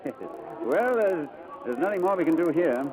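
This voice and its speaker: An actor playing a haunted, reserved English gentleman struggling with lost memory, halting and hesitant in 1940s radio style. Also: on the high side, very animated, a crowd in the background. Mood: polite, distracted. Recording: noisy old radio drama recording.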